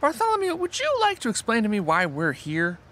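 An actor playing a fussy, incredulous sci-fi fan in a comedic audio drama, pedantic and exasperated. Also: male voice, very high-pitched, huge pitch swings, a little fast, fairly bright tone, full character voice.

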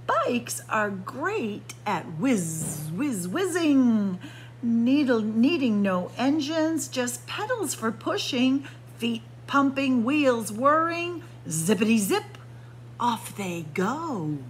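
Female picture-book reader for small kids: very unhurried, swooping sing-song delivery, stressed key words, noisy indoor recording. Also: a little high, sing-song. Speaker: children's librarian and storyteller. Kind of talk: picture book read-aloud for young children. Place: library room with bookshelves, indoors.

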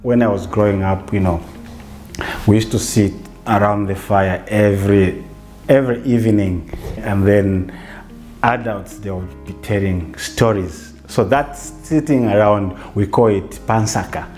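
A Zambian man in his forties, a theatre facilitator, talking reflectively in a relaxed interview in a bright living room, with restarts and "you know". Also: lowish voice, measured pace, faint music behind.

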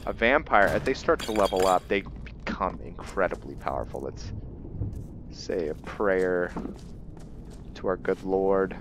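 Low-voiced man, slow unhurried delivery. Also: noisy background. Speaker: let's play host. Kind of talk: casual game commentary with light roleplay.

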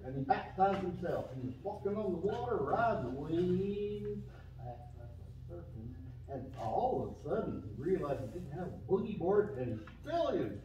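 A man's voice: on the high side, big pitch swings, moderate pace, mellow, a little hiss or hum. A man voicing a naive, eager young surfer-dude pig with a drawl in a children's church puppet show. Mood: excited. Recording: distant, echoey, dull room recording.

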